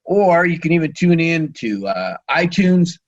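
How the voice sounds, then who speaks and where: A man hosting a radio interview, halting as he searches for words, with a voice that is starting to give out. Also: fairly high pitch, very animated, highs cut off. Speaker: radio show host.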